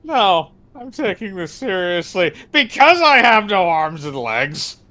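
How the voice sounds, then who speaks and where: A woman's voice: mid-range voice, very animated, unhurried, sharp tone. A woman voicing a desperate, sarcastic male captive in a horror game, with a pleading, melodramatic manner. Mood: earnest, pleading.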